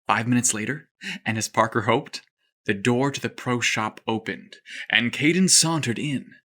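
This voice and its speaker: A male storyteller reads a scripted tale, lively and expressive. His voice is bright and clear, set at an ordinary pitch. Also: moderate pace.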